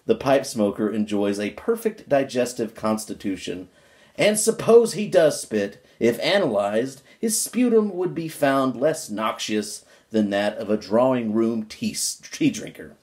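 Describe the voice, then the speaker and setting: A man's voice: medium pitch, big pitch swings, measured pace, a little mellow. A man giving an amateur dramatic reading of an old book, stressing words emphatically and adding wry asides.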